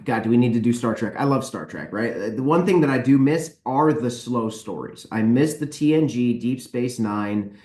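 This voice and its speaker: A man's voice: mid-range voice, expressive, normal speed, hardly any pauses, mellow. A man chatting casually and candidly as a podcast guest, giving emphatic opinions. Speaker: podcast guest, book publisher and author. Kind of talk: casual opinionated panel chat. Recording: roomy, echoey sound.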